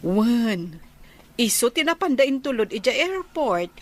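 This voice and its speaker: A woman's voice: fairly high pitch, sing-song, slow, slight background noise. A woman playing a friendly, chatty, caring elder sister in a radio drama, with a Filipino accent. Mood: cheerful.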